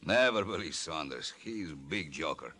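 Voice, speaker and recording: A man's voice: very deep voice, lively intonation, normal speed, sharp tone, heavily acted. An actor playing a breezy, slangy gangland henchman in an old radio crime drama. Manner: slangy, casual. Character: cocky, breezy male henchman. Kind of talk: 1940s radio crime acting.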